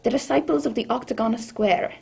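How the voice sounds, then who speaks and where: A woman playing a fussy, scolding housekeeper with a creaky, husky voice in an amateur radio drama. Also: a little high, fairly quick, darkish tone, exaggerated voice. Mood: disapproving.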